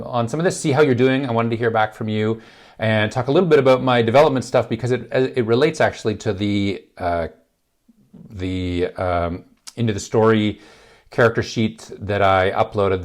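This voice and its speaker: Slightly gravelly, rambling film teacher man. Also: fairly low pitch. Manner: rambling, many fillers and restarts. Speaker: filmmaking channel host. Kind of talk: casual talk to camera. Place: large indoor office space.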